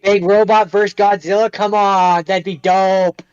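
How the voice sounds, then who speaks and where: A man's voice: high voice, flat delivery, unhurried, highs cut off. A man chatting informally and with slang as a podcast co-host. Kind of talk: casual chat.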